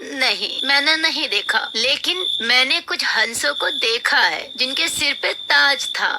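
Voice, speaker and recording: A woman's voice: sits high, lively intonation, a little slow, background noise. A woman playing a kindly, helpful old woman of the forest in a cartoon fairy tale. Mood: calm, helpful.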